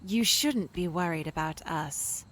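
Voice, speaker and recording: A woman voicing an eerie, cryptic, detached young woman in a horror video game, speaking in a deadpan, deliberate way. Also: fairly low pitch, a little hiss or hum. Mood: ominous, detached.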